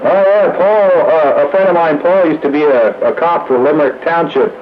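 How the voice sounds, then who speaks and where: Man, casual radio chat, fairly high pitch, dull lo-fi sound, clear noise. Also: moderate pace. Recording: muffled, noisy radio transmission.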